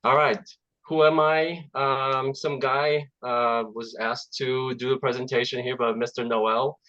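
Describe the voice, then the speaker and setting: A man in his forties whose first language is Russian, born in Azerbaijan, giving a relaxed, chatty talk as a guest software engineer over a video call, with many fillers and restarts. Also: medium pitch, a little slow, highs cut off. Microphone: computer mic on video call.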